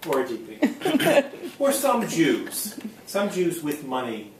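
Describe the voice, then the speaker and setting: A man's voice: a little high, wildly swooping pitch, takes it slowly, far from the mic. A man interviewing in a casual, conversational style, with wry and slightly hesitant phrasing. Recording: echoey room, off-mic, faint noise.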